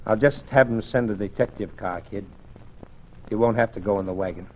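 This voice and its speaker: A man's voice: lowish voice, a little fast, a little hiss or hum, natural voice. An actor playing a tough male detective with clipped, hard-boiled talk, in 1940s radio acting. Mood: gruff. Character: tough male detective Denny, loyal to his young partner. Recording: muffled old radio broadcast.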